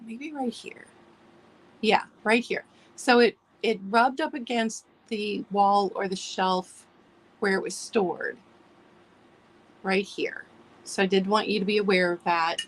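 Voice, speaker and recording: A woman hosting a live online vintage sale in casual chat, a little hoarse from allergies and clearing her throat now and then, greeting viewers by name as she describes items. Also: medium pitch, fairly bright tone, poor audio. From United States.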